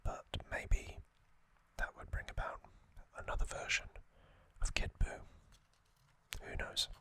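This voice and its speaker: A man hosting ASMR in a breathy whisper, gently recapping trivia in short, halting phrases. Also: no echo. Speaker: ASMR host.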